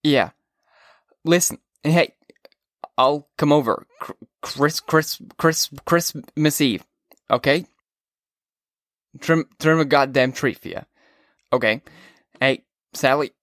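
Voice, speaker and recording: A man's voice: fairly high pitch, slow pace, long pauses, fairly bright tone, no room sound, studio quality, pitched above the performer's own voice. A man reading aloud, voicing a drunk teenage boy who slurs and stammers on the phone. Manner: slurred, stammering.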